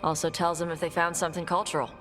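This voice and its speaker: A woman voicing a blunt young dragon hunter who talks in short, gruff, dismissive lines in a game cutscene. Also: on the low side, little pitch movement, very fast, fairly bright tone, faint music behind, lightly acted. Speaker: treasure hunter. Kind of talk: video game cutscene dialogue.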